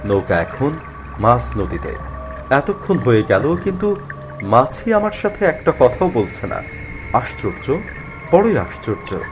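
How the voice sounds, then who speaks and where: A man narrating a spooky first-person story in an expressive, dramatized way. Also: medium pitch, a little slow, long pauses. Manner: expressive, acts out the character's worried thoughts. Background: faint music.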